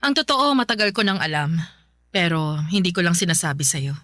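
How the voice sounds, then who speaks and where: A woman's voice: lowish voice, sing-song, a little fast, a bit bright, no room sound, studio quality. A woman playing a betrayed wife in a Filipino radio drama, bitter, fierce and accusing as she confronts a rival. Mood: guarded, grave.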